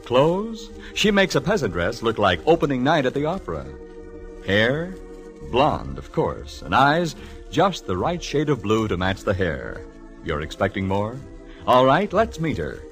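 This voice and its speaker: Radio announcer, lively swinging intonation, admiring, playful, 1940s style, music underneath. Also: male voice, mid-range voice, a little slow, full character voice. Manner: playful, rhetorical showmanship. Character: smooth-talking, admiring male announcer introducing a detective heroine. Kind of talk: 1940s radio scripted introduction.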